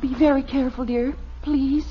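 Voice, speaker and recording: An actress playing an anxious, insistent middle-aged American wife in a 1950s radio comedy, speaking in an urgent, fretful way. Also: female voice, sits high, fairly even intonation, moderate pace, natural voice. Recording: muffled, hissy vintage radio broadcast.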